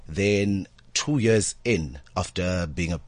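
A man's voice: deep voice, slow, no echo. A young man from South Africa, a TV presenter, chatting as an interview guest in an easygoing, modest way. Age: young adult. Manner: easygoing, modest, laughs easily. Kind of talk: casual interview.

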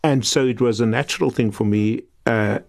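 A man's voice: mid-range voice, normal speed, creaky. A middle-aged South African man, a guest professor, telling his story in a reflective, earnest way with frequent restarts. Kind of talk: podcast interview.

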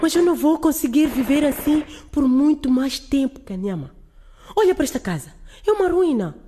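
An anxious aunt in a radio drama, against noticeable noise. Her delivery is animated, resentful and insistent, full of complaint. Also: high-pitched, normal speed. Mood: anxious, pleading.

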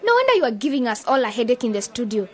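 A bossy young singer girl in a radio play, really high voice, impatient n sharp-tongued. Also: sing-song, very fast. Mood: exasperated. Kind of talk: radio drama acting.

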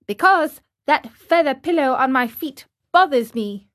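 A woman's voice: high-pitched, animated, measured pace, fairly bright tone, voice pushed up. A woman voicing a stubborn, melodramatic boy marionette in a storybook reading, petulant and given to wailing exclamations. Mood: whiny, stubborn.

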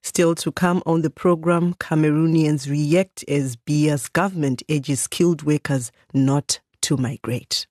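A man's voice: fairly high pitch, steady tone, darkish tone, no echo. A man hosting a radio news magazine from a studio, reading out listener comments. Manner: reads listener comments aloud, brisk and friendly. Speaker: radio host.

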